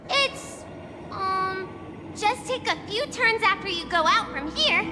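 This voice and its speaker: A woman's voice: very high voice, animated, slow, in a large hall, noisy background, full character voice. A woman voicing an eager, proud little child of a warrior people in a video game, earnest and boastful.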